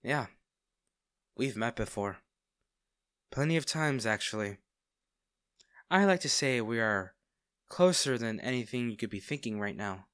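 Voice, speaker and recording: A man acting as a smitten villain in an intimate audio roleplay, speaking directly to the listener with theatrical pauses. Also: mid-range voice, animated, a bit bright, clean tone, no room sound, clean studio sound. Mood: teasing, smug. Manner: teasing, theatrical, pausing, addressing the listener intimately. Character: male villain, teasing, smitten captor of a hero.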